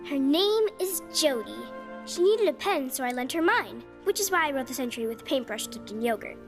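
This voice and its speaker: A woman's voice: high voice, expressive, moderate pace, faint music behind. A woman voicing an earnest, naive young cartoon character. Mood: earnest, hopeful. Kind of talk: animated TV cartoon dialogue.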